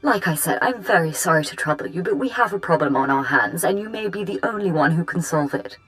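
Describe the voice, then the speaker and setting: A woman's voice: fairly low pitch, animated, a little fast, fairly bright tone, rough recording. A woman playing a polite, worried noblewoman in a video game, formal and deferential. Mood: polite, anxious. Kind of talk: dramatic video game acting.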